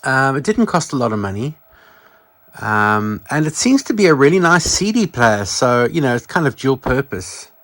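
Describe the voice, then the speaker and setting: A man's voice: mid-range voice, very animated, moderate pace, fairly bright tone. A man hosting a casual show-and-tell video, chatting and musing aloud as he demonstrates a product, often correcting himself. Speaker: video channel host.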